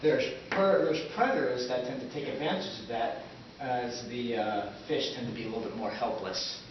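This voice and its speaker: A man giving an amateur, loosely scripted presentation as presenter, in a halting, fumbling and vague manner. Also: medium pitch. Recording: echoey, muffled, noisy, distant camera mic.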